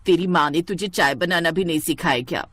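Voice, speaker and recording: Woman voicing a bossy, lower-pitched nagging mother-in-law. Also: fairly quick, no room sound. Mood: scolding. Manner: sharp-tongued, nagging. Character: bossy middle-aged mother-in-law, scolding and grumbling.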